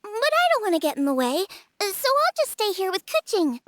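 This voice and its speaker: Very high, bubbly young girl. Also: very animated, normal speed, fairly bright tone, slight background noise, exaggerated voice. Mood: cheerful. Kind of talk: video game cartoon acting. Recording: background music under the voice.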